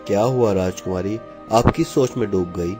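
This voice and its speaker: A man's voice: lowish voice, normal speed, music in the background, natural voice. A man voicing an earnest, resolute prince in love, speaking formally in a cartoon fairy tale. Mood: tender.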